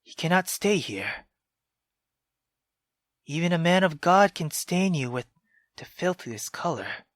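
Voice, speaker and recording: A man voicing a stern, reproachful mother in a visual novel, speaking low and hushed. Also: sing-song, slow pace, long pauses, no room sound, studio quality, heavily acted. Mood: cold scolding. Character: stern, scolding mother figure, woman.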